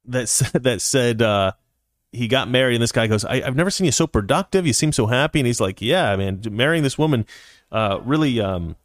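A man's voice: medium pitch, lively intonation, fairly quick, no echo, clean studio sound. A man in his forties hosting a casual livestream, reading viewer comments aloud and talking in a rambling way with many restarts. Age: 40s. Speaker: livestream host reading chat.

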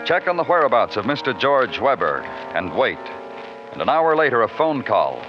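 An actor playing a terse, brooding big-city police detective in a 1950s radio crime drama, clipped and deadpan. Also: male voice, medium pitch, moderate pace, natural voice. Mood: brisk. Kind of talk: 1950s radio crime drama, hard-boiled narration and dialogue. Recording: dull old radio recording with music.

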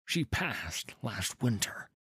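A man as a very slow, weary old farmer. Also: fairly low pitch, very animated, pushed and bright, breathy edge, dry recording, full character voice. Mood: sorrowful, evasive. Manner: slow, subdued, halting. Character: weary, guarded old male farmer, stoic and humble.

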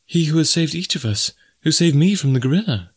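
A man voicing a gentle, earnest young woman. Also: on the high side, animated, fairly quick, slightly rough, dry recording, clean studio sound, pitched above the performer's own voice. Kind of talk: audiobook reading.

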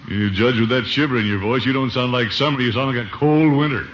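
An actor playing a pompous, blustery middle-aged uncle in a vintage radio comedy style. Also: male voice, medium pitch, quick pace, natural voice. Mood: indignant, sparring. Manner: pompous, swooping, chuckling delivery. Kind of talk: 1940s-50s radio comedy acting. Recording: dull, hissy old radio recording.

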